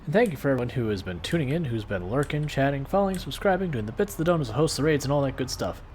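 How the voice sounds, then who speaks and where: A man streaming a game live, talking through his play in a calm, soothing, laid-back way. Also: mid-range voice, rapid-fire, slight background noise. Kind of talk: casual live stream gameplay commentary.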